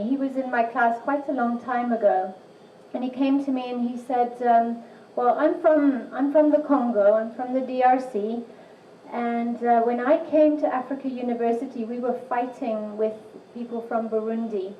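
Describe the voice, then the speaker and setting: A woman in her sixties who teaches at a university, giving an earnest, somewhat halting talk. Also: on the high side, a little hiss or hum. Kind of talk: presentation to a church audience. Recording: distant, echoey, muffled room recording.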